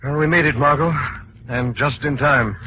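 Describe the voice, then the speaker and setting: An actor playing a confident, wealthy young gentleman crime-fighter, commanding and dramatic in old radio style. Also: mid-range voice, moderate pace, close to an everyday voice. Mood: suave, reassuring. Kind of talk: 1940s radio acting. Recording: dull, noisy vintage radio recording.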